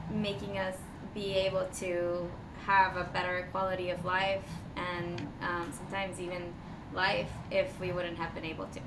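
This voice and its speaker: A woman from Costa Rica answering interview questions casually as a podcast guest, with thoughtful, hesitant phrasing. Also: on the low side. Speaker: podcast guest, design strategist. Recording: distant mic, echoey, clearly noisy background.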